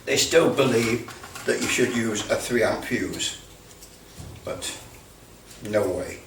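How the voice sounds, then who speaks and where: A British man giving a casual hands-on demonstration, halting as he thinks aloud. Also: mid-range voice. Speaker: presenter demonstrating electrical testing. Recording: roomy, distant mic with background noise.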